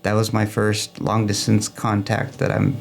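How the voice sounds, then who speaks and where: A man's voice: lowish voice, completely flat delivery, unhurried, creaky, soft background music. A man speaking as a club guest in a casual interview, rambling and enthusiastic as he explains and tells anecdotes. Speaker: amateur radio club guest.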